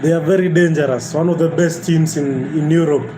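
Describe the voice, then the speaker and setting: A man's voice: fairly high pitch, fairly even intonation, a little fast. Laid-back man chatting, noisy background. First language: Kikuyu. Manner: laid-back, repeats himself, says "bro". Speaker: watch-along streamer. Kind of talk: casual chat.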